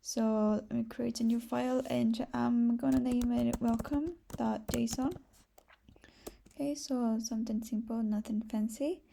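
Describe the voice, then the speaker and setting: A soft-spoken woman with a Brazilian accent, a native Portuguese speaker, giving a casual step-by-step screencast tutorial. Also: fairly high pitch, steady tone, a little slow, creaky, slight background noise. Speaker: programming tutorial host.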